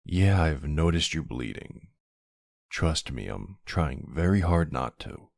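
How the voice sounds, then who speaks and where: A man playing a centuries-old vampire hunter in an ASMR roleplay, gruff, wry and suspicious, questioning someone in a brusque, intimate way. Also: rumbling low, big pitch swings, dry recording, studio quality, exaggerated voice. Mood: probing, guarded. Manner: brusque, wry, slow interrogating delivery with pauses. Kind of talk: ASMR roleplay, intimate one-sided dialogue.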